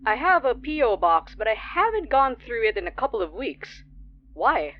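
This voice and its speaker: A woman's voice: a little high, big pitch swings, moderate pace, highs cut off. A woman playing an anxious, wary late-night radio DJ in a horror audio drama, hesitant and polite in a naturalistic way.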